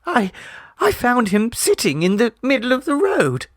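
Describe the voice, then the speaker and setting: A timid young woman, voiced by a male reader, stammers through her words in a raised, tearful pitch. She speaks slowly and hesitantly. The sound is close and dry. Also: wildly swooping pitch, no room sound, exaggerated voice. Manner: faltering, stammering.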